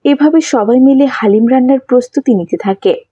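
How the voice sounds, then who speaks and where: A woman narrating a scripted children's story, with a husky, mellow voice. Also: sits high, moderate pace, dry recording, highs cut off.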